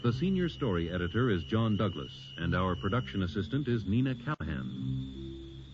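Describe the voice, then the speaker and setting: A man announces scripted credits on an old radio broadcast. He is formal and measured, with a fairly low, well-inflected voice. Music plays behind him and the sound is slightly dull. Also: very animated.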